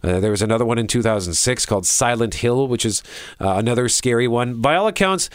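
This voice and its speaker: A man's voice: mid-range voice, fast talker, no room sound, clean studio sound. A man co-hosting a show, chatting casually and with animation about entertainment. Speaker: show co-host. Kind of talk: casual chat reviewing entertainment.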